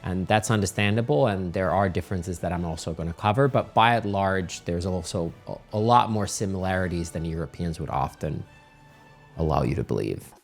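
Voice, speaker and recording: A middle-aged man, giving casual, animated travel advice as a channel host. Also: low-pitched, brisk, vocal fry, faint music behind. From Finland.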